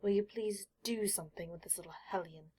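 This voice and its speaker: A woman's voice: mid-range voice, fairly quick, mellow, husky, lightly acted. A woman voicing a disdainful aristocratic father in a clipped, world-weary manner for an audiobook story. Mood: exasperated.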